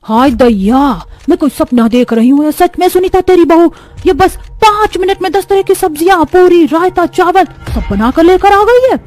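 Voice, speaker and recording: A woman's voice: very high-pitched, lively intonation, moderate pace, few pauses, darkish tone, soft background music, pitched above the performer's own voice. A woman voicing an elderly village aunt who is easily amazed and speaks in folksy exclamations. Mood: astonished.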